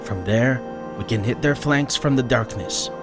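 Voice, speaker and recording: A man voicing a resolute ninja master in a fantasy audiobook, speaking in an earnest, measured way. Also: mid-range voice, over music, voice pushed up, lightly acted. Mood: earnest, grave. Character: resolute male ninja master leading weary townsfolk. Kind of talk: dramatised fantasy audiobook character.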